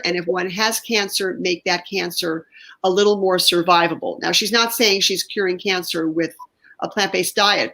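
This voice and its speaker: A woman's voice: on the low side, vocal fry, pushed and bright, rough recording. A woman hosting an online interview show, chatty and enthusiastic, restarting her sentences often. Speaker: show host.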